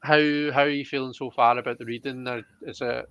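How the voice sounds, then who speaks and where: A man's voice: mid-range voice, level tone, fast talker, a bit bright, clear, resonant tone. A man hosting a casual livestream, chatting informally and a little hesitantly. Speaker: host of a live tarot reading show. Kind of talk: casual livestream chat, reading out comments.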